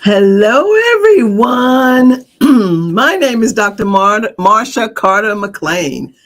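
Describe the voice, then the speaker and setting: A woman's voice: medium pitch, sing-song, slow pace. A woman hosting a podcast in a casual, effusive way, laughing often. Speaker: podcast host. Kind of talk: casual chat.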